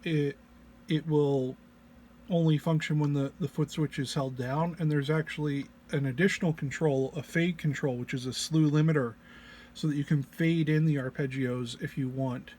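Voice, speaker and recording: A man giving an informal technical walk-through as a presenter, sounding low-energy and under the weather, with a hesitant, halting delivery. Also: fairly even intonation, a little mellow. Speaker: presenter demonstrating an audio effects patch. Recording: clear background noise, single mono microphone.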